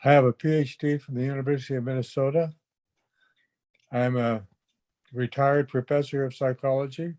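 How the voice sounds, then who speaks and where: An older man, a retired professor, introducing himself on a video call in a hesitant, deliberate way with pauses. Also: mid-range voice, darkish tone. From United States. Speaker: retired professor, founding editor.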